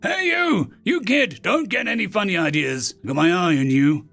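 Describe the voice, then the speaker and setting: A man's voice: sits high, lively intonation, normal speed, creaky, a bit bright, faint music behind, heavily acted. A man voicing a blustering old baker who hollers in exaggerated mock anger in a fantasy story. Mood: mock outrage. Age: older. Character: blustering old male baker shouting at young thieves. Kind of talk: acted character in narrated fantasy story.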